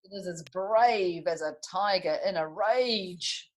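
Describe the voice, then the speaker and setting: A woman reading picture books aloud to young children in an expressive way, with chatty asides and little tag questions. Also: on the low side, slow pace. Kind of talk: animated picture-book read-aloud with asides to young listeners.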